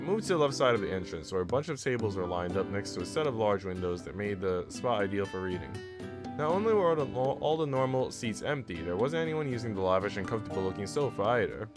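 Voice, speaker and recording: A low-pitched man hosts a casual live stream with guitar music clearly playing behind him. He reads visual novel text aloud and chats in slang, joking and swearing freely. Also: lively intonation, quick pace. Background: plucked guitar music.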